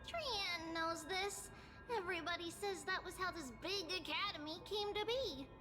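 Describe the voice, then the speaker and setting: A woman's voice: very high-pitched, moderate pace. A woman voicing a small, chirpy, simple-minded little girl in an anime game, talking in cute baby talk. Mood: matter-of-fact. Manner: third-person baby talk and repeated cute syllables. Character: small childlike girl, chirpy and simple, speaks of herself by name. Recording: loud game music behind.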